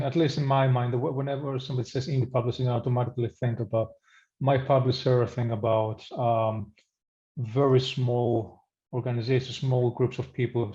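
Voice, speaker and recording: Greek-born male novelist panelist, mellow, thoughtful, dull lo-fi audio. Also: medium pitch. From Greece. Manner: thoughtful, measured, with frequent ums. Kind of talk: online panel discussion.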